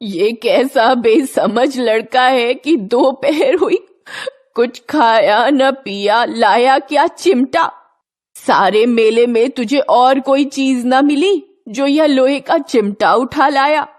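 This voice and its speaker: An old village grandmother, acted by a woman in a storybook drama, emotional and pleading. She speaks very slowly, fretting and scolding with obvious tenderness. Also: sits high. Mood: scolding, dismayed.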